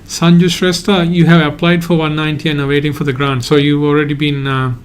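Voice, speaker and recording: Sri guy hosting a live q&a, kinda high voice, pretty monotone, lots of pauses. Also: a little hiss or hum. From Sri Lanka. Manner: halting, hedges often, reads out viewer questions. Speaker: migration agent hosting a live Q&A. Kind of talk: live-stream Q&A, answering viewer questions.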